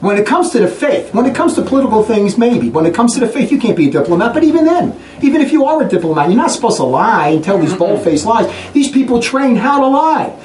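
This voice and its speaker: A man giving an impassioned religious talk to a group in a fast, blunt, combative way. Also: very high-pitched, sing-song, a little mellow. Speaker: religious preacher. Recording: echoey room, distant mic, faint noise.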